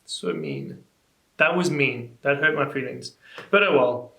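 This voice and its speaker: Animated young man, echoey room. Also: measured pace. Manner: animated, incredulous, rising pitch when exasperated. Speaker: YouTuber. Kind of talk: casual reaction vlog, reading comments aloud.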